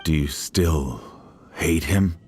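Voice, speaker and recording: Game villager: kindly older man, deep voice, gentle and sympathetic, considerate phrasing. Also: takes it slowly, over music. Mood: gentle, concerned. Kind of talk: video game voice acting.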